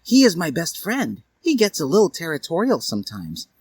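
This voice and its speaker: A man voicing a sweet, excitable young schoolgirl with a gushing, girlish manner in a story reading. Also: on the high side, moderate pace, husky, voice pushed up, heavily acted.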